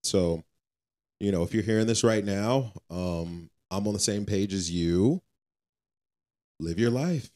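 Deep, mellow-voiced man, earnest and exhorting. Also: slow pace, long pauses. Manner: earnest, exhorting, repeats phrases for emphasis. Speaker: podcast co-host. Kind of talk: casual faith podcast talk.

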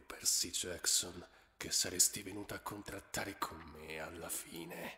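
A man voicing a scornful, menacing male god of the underworld in slow, low tones, with a heavy reverb effect on his voice. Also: pushed and bright, exaggerated voice. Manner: slow, low, threatening delivery. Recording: cavernous echoing reverb effect.

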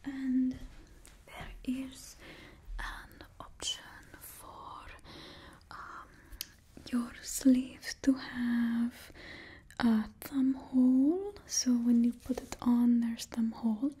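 Young woman playing a villain's stylist, breathy close whisper, fake Russian accent, slow and mellow. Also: on the high side, fairly even intonation, full character voice. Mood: soft attentive. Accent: put-on Russian accent. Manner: slow, gentle, instructing a client. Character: young female stylist to a supervillain, businesslike. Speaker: ASMR roleplay performer. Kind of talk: whispered ASMR roleplay. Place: small indoor studio with a painted backdrop.

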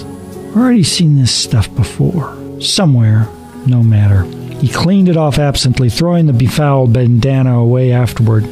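Male narrator for dramatic horror audio story, deliberate and suspenseful, music bed behind. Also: mid-range voice. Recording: narration over background music.

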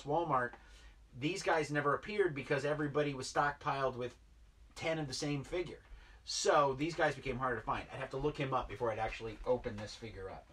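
Man in his 30s, toy-collector vlogger, rambling chat, husky edge, a bit off-mic. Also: on the high side, bright, forceful tone, distant mic. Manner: rambling, filler-heavy, enthusiastic about toys. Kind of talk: casual unboxing chat. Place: basement toy room, indoors.

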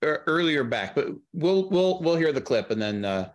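A man's voice: fairly high pitch, sing-song, moderate pace. A middle-aged man talking casually as a guest in an interview on a video call. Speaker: audio drama sound producer, guest.

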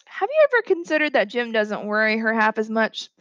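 A woman's voice: on the high side, sing-song, brisk, a bit bright, no room sound, lo-fi sound. A woman voicing a stern father with a firm, admonishing manner in a story reading.

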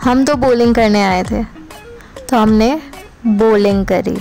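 Young lady doing chatty travel vlog voiceover, kinda high voice, music playing behind. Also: slow pace, vocal fry, mellow. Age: young adult. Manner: chatty, addresses viewers with questions. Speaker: vlogger. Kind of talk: casual travel vlog narration. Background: background music.

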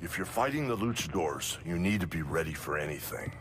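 An actor playing a calm, measured male martial arts trainer giving instruction. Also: deep voice, a little hiss or hum. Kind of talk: video game cutscene.

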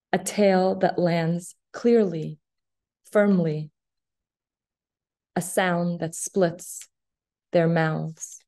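A woman in her thirties, born in Afghanistan with Farsi as her first language, reads poetry in a measured, deliberate way over a video call from a small office. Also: fairly low pitch, dark tone. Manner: measured, deliberate recitation with long pauses. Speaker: poet. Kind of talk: slow poetry reading. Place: small office room indoors. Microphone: laptop webcam video call.

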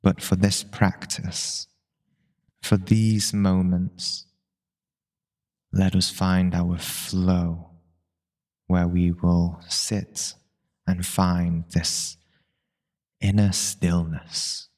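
Very slow, deep-voiced male meditation guide. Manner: calm, unhurried, long pauses between short phrases.

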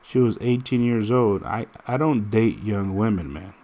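A man's voice: fairly low pitch, moderate pace, dark tone, a little hiss or hum. A man in his thirties chatting casually and rambling through stories while playing a mobile fighting game, direct and full of restarts. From United States. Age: 30s. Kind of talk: casual gameplay commentary.

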